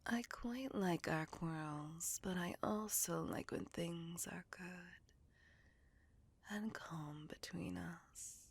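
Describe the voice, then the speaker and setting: Woman acting a breathy, whispering, seductive vampire mistress. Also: fairly low pitch, heavily acted. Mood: coaxing, tender. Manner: slow, soft, coaxing. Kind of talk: ASMR roleplay, intimate and whispered.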